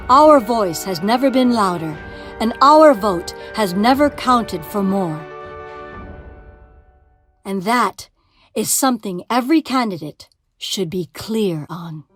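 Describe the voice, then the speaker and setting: Woman giving rousing scripted narration. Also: medium pitch. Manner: emphatic, measured, builds to louder declarations. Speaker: narrator of a promo video. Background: music.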